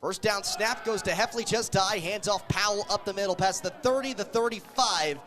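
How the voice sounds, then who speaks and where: High-voiced man calling football on radio. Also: moderate pace, soft background music, some chatter behind. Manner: excited, energetic calling of the action. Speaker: play-by-play sports radio announcer. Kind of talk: live football radio commentary. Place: live at a football game.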